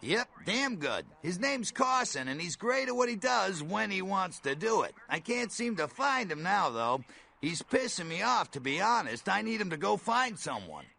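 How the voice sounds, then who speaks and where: A man playing a brash, folksy middle-aged bail bondsman in a video game, with a gravelly voice and blunt, slangy talk. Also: high voice, very animated, moderate pace, sharp tone, heavily acted. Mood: frank. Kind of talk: video game character dialogue.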